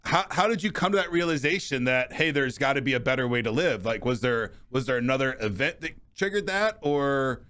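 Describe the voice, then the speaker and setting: Bright, upbeat male podcast host. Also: medium pitch, lively intonation, brisk, hardly any pauses. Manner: enthusiastic, friendly. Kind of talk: interview over video call. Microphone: studio microphone.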